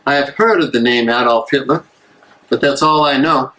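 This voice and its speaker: A man acting an earnest, weary American minister of the 1930s in a live dramatic reading, speaking emphatically and deliberately. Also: medium pitch, pushed and bright, lightly acted, low-quality recording. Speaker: actor in a dramatic play reading.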